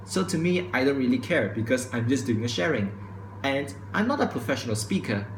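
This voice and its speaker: Young male student vlogger, mid-pitched, stumbling and restarting lines, camera mic in a roomy space with faint hum. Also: big pitch swings. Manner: stutters and restarts, says he speaks too quickly. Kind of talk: casual opinion monologue to camera. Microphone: camera microphone. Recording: echoey room with faint ambience.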